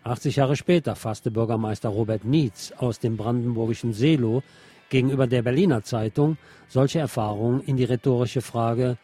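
Male presenter for scripted news commentary, dark mellow tone, slightly husky, faint music. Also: mid-range voice, normal speed. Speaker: radio magazine presenter.

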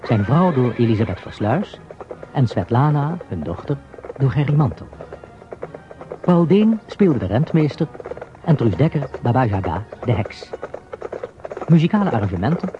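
A man's voice: medium pitch, very animated, normal speed, pauses often. A man reading scripted closing credits as a radio drama announcer. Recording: dull radio recording. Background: music.

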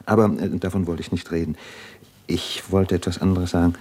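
An actor playing an earnest, thoughtful educated man in a 1950s radio drama, deliberate and searching for words. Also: low voice, level tone, darkish tone. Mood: earnest, hesitant.

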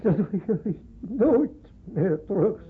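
Radio play mourner: despairing, halting, drawn-out delivery; thin old band-limited sound. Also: male voice, high voice, animated, slight background noise. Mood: anguished. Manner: halting, anguished. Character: despairing, grieving man lamenting lost young men. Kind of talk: radio drama acting. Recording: muffled narrow-band old radio recording.

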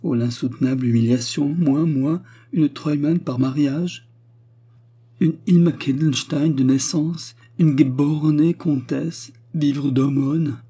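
A man's voice: fairly low pitch, normal speed, mellow, slightly rough. A man voicing a proud, distraught noblewoman, a widow who complains in haughty, tearful exclamations, as in an audiobook. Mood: humiliated outrage.

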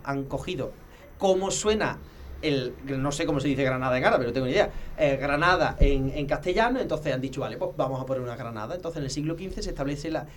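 Rich: A man explains history to a host. His voice sits fairly high and he talks very fast, in a lecturing manner full of pauses and restarts. A faint hiss runs under the recording. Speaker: heraldry expert guest. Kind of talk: casual explanatory talk.

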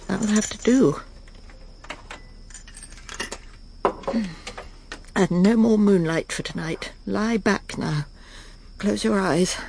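A woman playing a prim, weary, middle-aged English lady in a radio drama, halting and polite, murmuring to herself with an English accent. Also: mid-range voice, darkish tone, a little hiss or hum. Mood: weary, self-soothing. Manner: polite, halting, breathy murmured self-talk. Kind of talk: radio drama acting, intimate monologue and dialogue.

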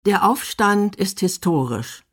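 A woman's voice: medium pitch, expressive, slow, slightly rough. A woman reading scripted narration for a radio feature as its documentary narrator.

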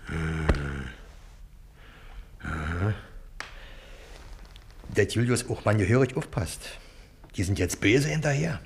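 A man's voice: lowish voice, animated, takes it slowly, pauses often, slight background noise. Crafty, grumbling old Berlin bargeman. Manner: grumbling, plaintive, haggling. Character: wheedling, crafty old bargeman who deals in poached goods. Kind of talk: German radio play acting.

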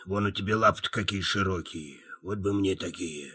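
An actor playing a grumbling, boastful but good-natured wolf in a children's audio play, with folksy talk full of grunts and sighs. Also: male voice, deep voice, moderate pace, sharp tone, husky, heavily acted. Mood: indignant, grumbling.

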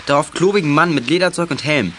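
A man's voice: a little high, fast, sharp tone, slight background noise. A male actor playing an eager, level-headed youth from a detective gang in a children's radio play. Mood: excited.

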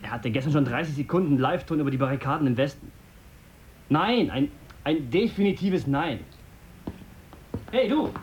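A man's voice: a little high, lively intonation, normal speed, long pauses, a little mellow, lo-fi sound, close to an everyday voice. An actor playing a brusque, self-important male news editor in a radio drama, curt and commanding. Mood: brusque, dismissive.

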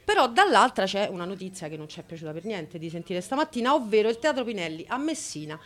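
A woman's voice: medium pitch, very animated, quick pace, fairly bright tone. A woman hosting a radio show, talking in an informal, chatty style. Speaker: radio host.

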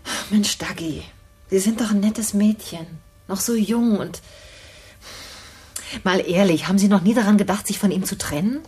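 Older educated lady, raspy, sarcastic, talks down to people, radio play. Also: mid-range voice, normal speed, pauses often, dark tone. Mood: coaxing. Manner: sarcastic, condescending, articulate. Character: educated, sharp-tongued older woman, a scornful hostage. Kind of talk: naturalistic radio drama acting.

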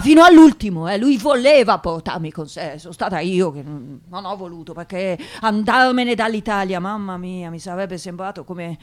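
An actress playing a lonely, self-pitying middle-aged Italian woman, confiding haltingly in a theatrical radio drama monologue. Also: medium pitch, lightly acted.

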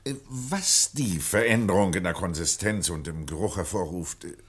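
A man's voice: sits low, moderate pace, natural voice. A man acting in a German radio play as a polite, worried waterworks official, explaining earnestly with some hesitation. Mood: puzzled, earnest.

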